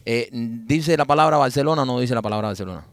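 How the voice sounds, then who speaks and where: A man's voice: medium pitch, fast, no room sound, clean studio sound. A man in casual podcast banter, teasing and arguing with his friends. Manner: teasing, argumentative. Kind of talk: casual chat.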